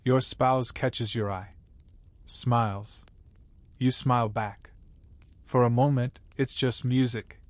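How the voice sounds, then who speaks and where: A man narrating a scripted bedtime story in a measured, wry way. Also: medium pitch, fairly even intonation, dry recording.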